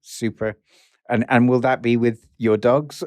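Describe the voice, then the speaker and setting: A man's voice: medium pitch, little pitch movement, measured pace, darkish tone, no room sound. Male host, encouraging interviewer, dry close sound. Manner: encouraging, restarts questions often.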